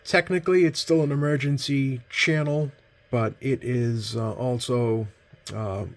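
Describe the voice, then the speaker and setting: Halting man, casual bench demo. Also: mid-range voice, fairly even intonation. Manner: hesitant, halting, rambling with self-corrections. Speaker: host, CB radio collector. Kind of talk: casual product demonstration. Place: indoors at a workbench.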